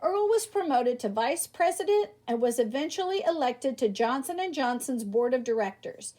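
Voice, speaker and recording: A woman's voice: sits high, lively intonation, moderate pace, darkish tone. A woman reading a children's book aloud expressively and emphatically, speaking directly to young listeners. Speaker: storybook reader.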